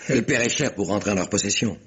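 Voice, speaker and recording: An actor playing a desperate, insistent rejected suitor, solemn and melodramatic, in a 1950s French radio drama. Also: male voice, on the low side, fast, fairly bright tone, husky, heavily acted. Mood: bitter, fatalistic. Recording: vintage radio recording, faint noise.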